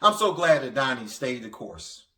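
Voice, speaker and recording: Echoey, bright-voiced male preacher. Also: medium pitch, very animated. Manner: halting, stammering, repeats phrases for emphasis. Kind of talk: sermon, impassioned motivational preaching. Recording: echoey, reverberant room sound.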